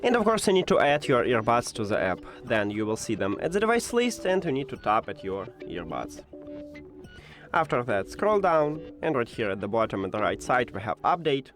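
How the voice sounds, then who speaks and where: A man who is a non-native English speaker presents a step-by-step tech how-to in an informal, instructional way with hesitant pauses. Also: medium pitch, sing-song, fairly bright tone, soft background music. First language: not English.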